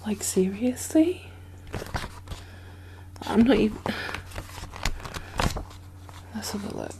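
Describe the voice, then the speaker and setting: A woman playing an anxious, caring zombie apocalypse survivor in a soft-spoken, half-whispered ASMR roleplay, hesitant and musing, with breathy sighs. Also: mid-range voice, a little hiss or hum. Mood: disbelieving.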